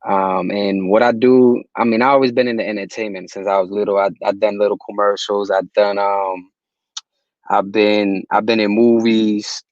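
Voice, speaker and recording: A young man from Puerto Rico, a guest in a casual interview, talking in relaxed slang with many restarts. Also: on the low side, normal speed, dry recording, highs cut off. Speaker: guest, music distributor.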